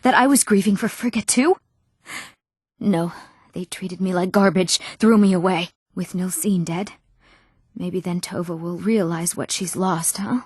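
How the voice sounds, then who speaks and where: A woman's voice: fairly low pitch, measured pace, a little mellow, breathy edge, dry recording, studio quality. A woman voicing an emotive, dramatic young woman in a fantasy video game. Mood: bitter, grieving. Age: young adult. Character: eager, wronged young woman of a fantasy world. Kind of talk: video game character voice lines.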